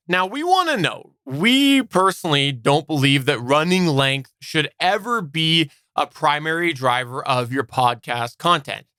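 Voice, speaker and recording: A man's voice: high voice, bright, forceful tone, no room sound, studio quality. A man co-hosting a podcast, explaining advice in a semi-scripted, instructional style. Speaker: podcast co-host.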